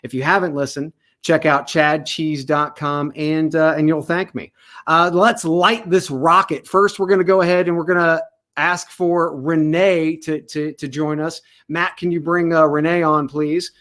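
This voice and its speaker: A man from the United States hosts an online panel in a casual, joking way. His voice sits a little higher than most men's. He speaks loosely and with energy, often with a grin in his tone. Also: steady tone, unhurried, fairly bright tone. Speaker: host.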